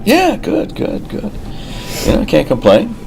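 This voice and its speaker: An actor playing an outwardly cheery, middle-aged brother-in-law, chatty and halting in a table read of a TV drama. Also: male voice, high-pitched, huge pitch swings, gravelly, background noise, full character voice. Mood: breezy, friendly.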